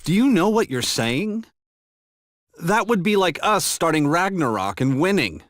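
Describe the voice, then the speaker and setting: Brash, animated young hero. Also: male voice, high-pitched, measured pace, no room sound, clean studio sound, exaggerated voice. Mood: incredulous. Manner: blunt, incredulous, informal. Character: brash, hot-tempered young male reluctant hero.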